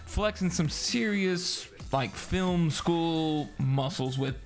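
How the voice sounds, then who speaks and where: A man's voice: a little high, slow pace, faint music behind. A man co-hosting a film review as a casual chat, with informal, slangy phrasing.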